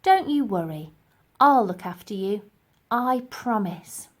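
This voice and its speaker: A woman's voice: medium pitch, animated, slow pace. A woman voicing a kind, plucky young girl in a children's storybook, earnest and determined. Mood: tender.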